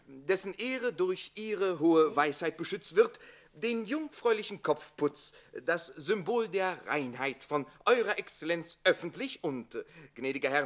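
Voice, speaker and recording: An actor playing a slyly flattering manservant in a radio play, making a ceremonious, mock-solemn speech. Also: male voice, fairly high pitch. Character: smooth-tongued manservant, slyly flattering his master. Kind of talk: theatrical radio play acting.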